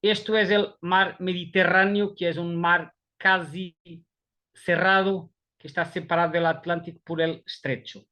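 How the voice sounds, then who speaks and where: A man from Portugal with a Portuguese accent, giving an online presentation in a halting way, often repeating words as he searches for the next one. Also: sits high, fairly even intonation, bright, forceful tone. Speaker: guest speaker. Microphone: video call.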